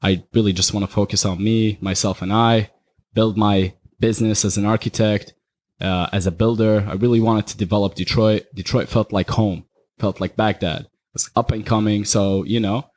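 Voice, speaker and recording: A man's voice: on the low side, fairly even intonation, moderate pace, darkish tone. A young man from Iraq, talking candidly and rambling a little as a podcast guest. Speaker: guest, party promoter. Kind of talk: casual podcast chat.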